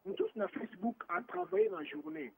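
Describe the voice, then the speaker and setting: Man on a phone-in, high lively voice, gravelly, rambling, tinny phone line. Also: quick pace. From Morocco. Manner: rambling, restarts often, mixes French and Lingala. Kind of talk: casual phone-in chat.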